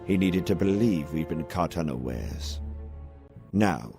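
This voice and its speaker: A man playing a calm, calculating alien admiral of a space empire in a measured, formal, scripted comic dub. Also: rumbling low, gravelly, exaggerated voice. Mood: assured. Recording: music under the dialogue.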